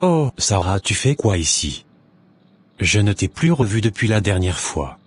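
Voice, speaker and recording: A man voicing a deep-voiced pious young man. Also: big pitch swings, breathy edge, exaggerated voice. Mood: earnest. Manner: earnest, measured, pious.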